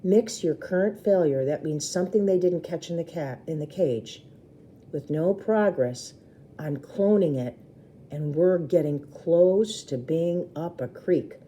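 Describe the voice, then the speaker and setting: A woman's voice: fairly low pitch, a little slow, warm tone, a little hiss or hum. A woman storyteller reading a children's book aloud expressively, with brief explanatory asides to listeners.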